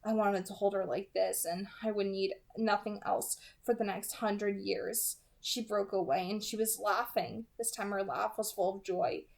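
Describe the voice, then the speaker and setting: Soft, dramatic young woman narrating. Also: medium pitch, fairly even intonation, moderate pace, creaky, hardly any pauses. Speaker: amateur audiobook narrator. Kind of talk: first-person novel narration.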